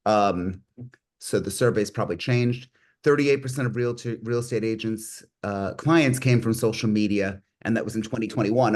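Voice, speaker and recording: A man's voice: lowish voice, darkish tone. A middle-aged man hosting an online group meeting on a video call, reading statistics and joking with participants, often restarting his sentences. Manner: rambling, restarts, jokey with participants. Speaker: meeting host.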